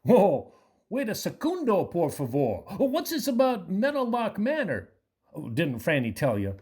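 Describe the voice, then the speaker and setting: Woman playing breezy old hippie man, lively pitch. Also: measured pace, husky, full character voice. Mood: surprised, breezy. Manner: playful, folksy exclamations. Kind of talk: radio play acting.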